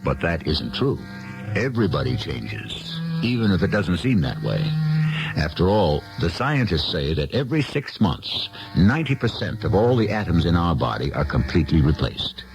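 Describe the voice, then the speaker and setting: Male host narrator: bass, animated, theatrical, musing and wry, music bed behind. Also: normal speed, rough recording. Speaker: radio drama host.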